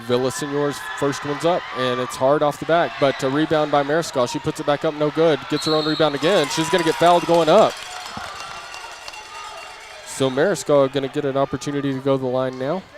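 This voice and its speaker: A man's voice: fairly high pitch, steady tone, brisk. A man calling live basketball play-by-play in clipped running phrases, following each move as it happens. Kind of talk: live radio-style play-by-play commentary. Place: indoor basketball gym during a game. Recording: crowd and gym noise behind. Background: crowd, bouncing basketball.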